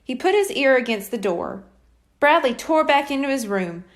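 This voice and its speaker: A woman reads a children's story aloud. Her voice is high, with wide, animated swings in pitch and an expressive storybook delivery. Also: moderate pace. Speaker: children's audiobook reader. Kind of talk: scripted storybook narration.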